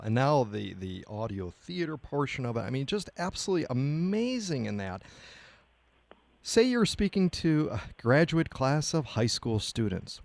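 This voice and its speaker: A man's voice: medium pitch, creaky, darkish tone. A man hosting a radio interview, talking in an effusive, emphatic way. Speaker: radio show host, interviewer.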